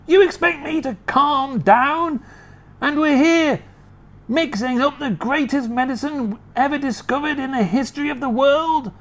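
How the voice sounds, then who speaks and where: An excitable farmer father in a storybook, shrill and hurried as the reader does his voice. He jumps high and stays frantic. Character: excitable fussy middle-aged farmer father.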